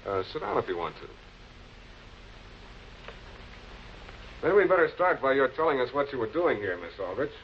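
Composed American prosecutor, muffled old film. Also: male voice, mid-range voice, big pitch swings, fast, a little hiss or hum. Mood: polite questioning. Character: composed, probing male public prosecutor, dryly authoritative. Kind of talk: 1940s American television crime drama acting.

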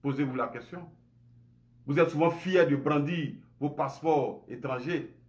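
A man's voice: a little high, a little slow. A man in his forties with an Ivorian French accent, speaking to camera at home in an impassioned monologue full of rhetorical questions. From Côte d'Ivoire. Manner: indignant, emphatic, rhetorical questions, gestures a lot. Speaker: online commentator. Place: home room indoors.

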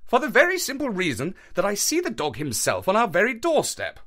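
A man voicing a brisk, self-assured gentleman detective, speaking in an emphatic, theatrical way with a high, lively, swooping delivery. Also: heavily acted. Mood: excited. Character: brisk, eager gentleman detective, sharp and sure.

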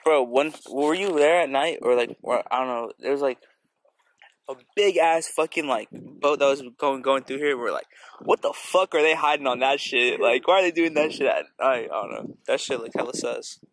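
A man's voice: mid-range voice, normal speed. A young man, chatting casually outdoors by the water, laid-back and swearing a lot. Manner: laid-back slangy, laughing often, swearing. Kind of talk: casual chat among friends. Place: outdoors on a bay shoreline.

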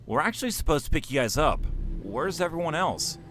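Man voicing a highly animated, nervous soft-hearted spaceman. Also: brisk, faint music behind. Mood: friendly. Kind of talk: comic dub voice acting.

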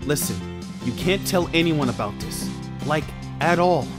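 A man's voice: on the high side, animated, unhurried. A man playing an earnest, wimpy teen boy superhero who narrates and talks in a comic dub. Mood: nervous. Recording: music behind the voice.

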